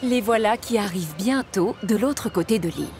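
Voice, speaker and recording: A woman narrating a cartoon story in a clear, measured storytelling manner. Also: medium pitch, animated, darkish tone, soft background music.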